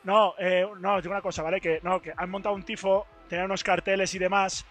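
A young man with a hoarse, worn-out voice talks excitedly to his stream viewers and keeps repeating himself. He speaks into a headset mic in a studio booth. His voice is high and bright, with faint music playing behind him. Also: quick pace. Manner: excited, repetitive. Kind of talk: casual stream chat.

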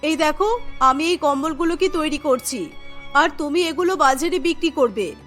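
A woman's voice: high voice, lively intonation, normal speed, fairly bright tone, soft background music. A woman voicing an earnest, pleading woman of a poor family in a cartoon story. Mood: reassuring.

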